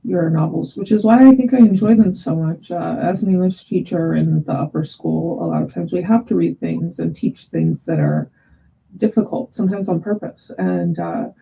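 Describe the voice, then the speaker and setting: A woman hosting a webinar, putting questions to a guest with hesitant, halting phrasing over a dull video call microphone. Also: lowish voice, expressive, creaky, mellow, husky. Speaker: host, English teacher. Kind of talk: webinar interviewing, reading out audience questions.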